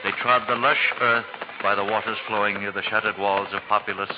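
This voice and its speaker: Male narrator, stately storyteller for golden-age radio play, dull old recording under swelling loud music. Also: lowish voice, fairly even intonation, brisk. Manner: grand, poetic storyteller delivery. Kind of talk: 1940s radio scripted dramatic narration.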